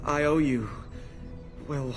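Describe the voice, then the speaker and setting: A man's voice: fairly high pitch, steady tone, takes it slowly, soft background music. An actor playing a frightened, earnest male medical volunteer in a video game, speaking hesitantly. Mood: relieved, grateful. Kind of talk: dramatic video game acting.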